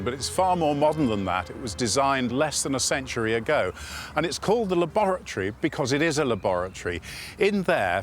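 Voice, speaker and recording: A man presenting a documentary about a garden, speaking in a measured, explanatory way. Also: mid-range voice, a bit bright, a little hiss or hum. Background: background music.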